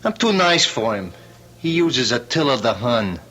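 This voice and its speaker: An actor playing a cagey, wisecracking small-time hoodlum behind bars in an old-time radio drama, curt and suspicious. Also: male voice, mid-range voice, sing-song, moderate pace, bright, forceful tone. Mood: cagey, sneering. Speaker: actor playing a jailed crook.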